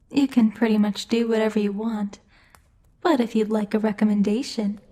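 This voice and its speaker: Mellow, high-voiced demon lady boss, acted by a woman. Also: natural voice. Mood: composed, wry. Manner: measured, imperious, teasing, formal. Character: commanding female demon lord, teasing yet protective boss. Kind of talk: ASMR roleplay monologue.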